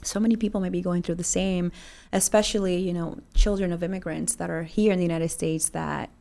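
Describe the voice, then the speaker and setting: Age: adult. Sex: woman. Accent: Dominican and New York English. Native language: Spanish. Role: podcast host. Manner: chatty and affirming, slightly gravelly, lowish voice. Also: fairly even intonation, fairly quick. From Dominican Republic. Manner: warm, affirming, chatty with frequent "like". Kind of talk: casual conversational interview.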